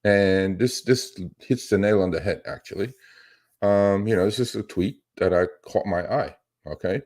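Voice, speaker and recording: Deep-voiced man rambling on a livestream. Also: moderate pace, a little mellow. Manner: rambling, emphatic, often checks with "okay?" and "right?". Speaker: live stream host, investing educator and trader. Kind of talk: casual unscripted livestream talk.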